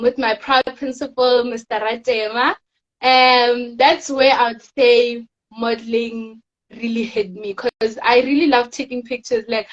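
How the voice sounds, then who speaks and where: A teenage girl from South Africa, a guest in a casual interview, telling her story softly and rambling with fillers. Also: fairly high pitch, highs cut off. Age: teens. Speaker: guest, teen pageant winner.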